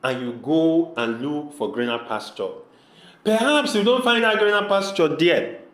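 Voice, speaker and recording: A man's voice: fairly high pitch, expressive, unhurried, fairly bright tone. A man with a Nigerian accent playing an earnest village man pleading with his brother in an amateur audio drama, asking repeated questions. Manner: pleading, repetitive rhetorical questions. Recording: echoey room.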